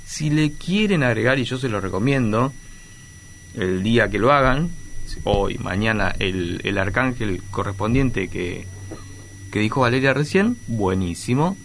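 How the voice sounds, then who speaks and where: A man, a guest, calmly guiding listeners through a short breathing exercise. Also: on the low side, measured pace, slight background noise. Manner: calm, guiding, relaxed. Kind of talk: guided exercise instructions.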